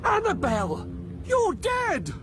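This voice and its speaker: An actor in a radio drama playing a bitter, rambling murderous husband who confides theatrically. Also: male voice, on the high side, huge pitch swings, moderate pace, creaky, heavy background noise, full character voice. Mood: startled, agitated.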